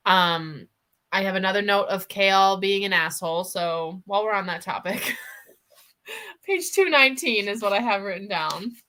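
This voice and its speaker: Bright-voiced woman chatting casually about books. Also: mid-range voice, animated, normal speed. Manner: frequent "like", giggly, opinionated. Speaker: book discussion co-host. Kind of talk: casual excited book chat. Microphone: livestream video call.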